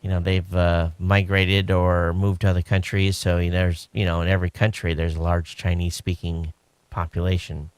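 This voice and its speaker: Low-voiced man chatting on a podcast. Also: moderate pace, dry recording. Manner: relaxed, rambling with frequent fillers. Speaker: podcast co-host. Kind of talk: casual chat.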